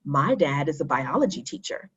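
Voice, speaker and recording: A woman's voice: low voice, very animated, normal speed, fairly bright tone, slightly rough, muffled recording, pitched below the performer's own voice, full character voice. A woman voicing an eager, bossy schoolboy with an emphatic, take-charge manner in a children's storybook.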